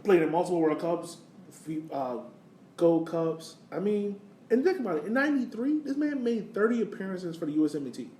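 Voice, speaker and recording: American male podcast co-host, fairly high voice, opinionated and casual, recorded off-mic. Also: animated, brisk, warm tone. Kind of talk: casual soccer discussion. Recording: roomy, distant mic, faint noise.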